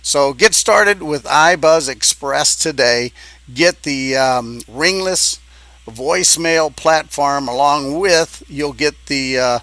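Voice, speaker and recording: A man giving an informal sales pitch as a business coach, talking in a halting, conversational way and checking in with the listener. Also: bright, forceful tone, dry recording.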